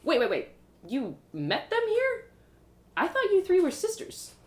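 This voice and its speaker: A woman reader plays a young storybook girl who is new in town. She sounds curious and unsure, with hesitant questions and a pitch that moves around a lot. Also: huge pitch swings, unhurried, echoey room, full character voice. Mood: curious, surprised. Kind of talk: storybook character voice.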